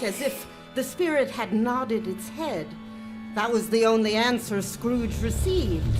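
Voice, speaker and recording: Female narrator: dramatic scripted storytelling, solemn, higher voice, quiet music underneath. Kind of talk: scripted dramatic narration, live radio play.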